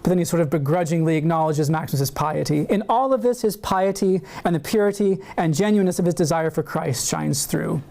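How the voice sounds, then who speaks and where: Measured male academic lecturer. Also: sits high, vocal fry, darkish tone. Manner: measured and deliberate, with occasional fillers. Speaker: university lecturer. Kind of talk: academic lecture read from a prepared paper. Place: university seminar room.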